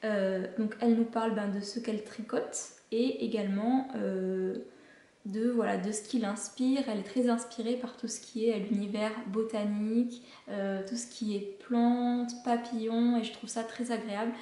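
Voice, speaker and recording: Woman host, knitting podcaster: fairly high, mellow voice, hesitant chatty delivery, roomy echo. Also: steady tone, moderate pace. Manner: chatty, hesitant with many fillers. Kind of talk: casual recommendations to viewers.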